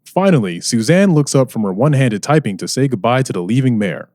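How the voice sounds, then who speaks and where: Guy as dramatic radio serial narrator, storytelling, very expressive, dry studio sound, bit wry. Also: mid-range voice, no room sound, natural voice. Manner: theatrical, deliberate, storytelling.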